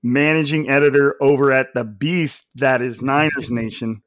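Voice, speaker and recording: A hoarse man hosts a casual sports podcast, his voice raspy and a little nasal. He talks at a naturally loud, energetic volume. The sound is dry and close, with no room around it. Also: mid-range voice, moderate pace, no echo. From Central California. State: hoarse from coaching. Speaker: podcast host.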